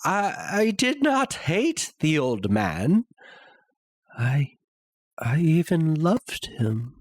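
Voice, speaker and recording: A breathy, husky madman confesses in a gothic horror tale, acted out by a male narrator. He speaks extremely slowly, with long theatrical pauses, and his pitch swings widely as he insists on his sanity. The sound is close and dry. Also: very animated, dry recording, exaggerated voice. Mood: halting, uneasy. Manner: halting, theatrical, with long pauses and sudden swells. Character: obsessed madman confessing a murder, insisting he is sane. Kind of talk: dramatic reading of a classic horror story.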